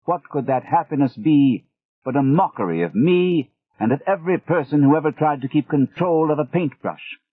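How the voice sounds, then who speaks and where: A pompous, wounded older artist with a British accent, as a male narrator puts him on, speaking slow and emphatic, pitched well above the narrator's low voice. The sound is tinny and phone-like, with a dry, close feel. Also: animated, husky, no echo.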